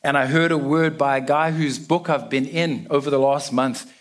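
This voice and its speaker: A man's voice: a little high, fairly even intonation, normal speed. South African man preaching casually. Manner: casual, self-deprecating, chatty with fillers. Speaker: guest preacher. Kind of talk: sermon to a church congregation.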